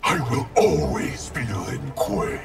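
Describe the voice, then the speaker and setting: Gravelly, menacing undead ninja. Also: male voice, mid-range voice, big pitch swings, takes it slowly, exaggerated voice. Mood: cold anger. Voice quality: gravelly, distorted growl. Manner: threatening, declamatory. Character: undead ninja wraith, cold, menacing, vengeful. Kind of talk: fighting game character dialogue. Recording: loud music behind.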